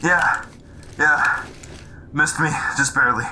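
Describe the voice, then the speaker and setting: A man's voice: a little high, slow, noisy background. A man playing a loyal squad trooper under fire in a sci-fi audio drama, terse and urgent. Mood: shaken. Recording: loud music and effects behind.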